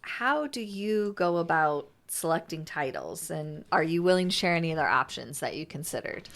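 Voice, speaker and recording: A woman's voice: sits low, expressive, normal speed, vocal fry, a bit bright. A woman interviewing someone in a casual, conversational style, encouraging and affirming as they listen and ask questions.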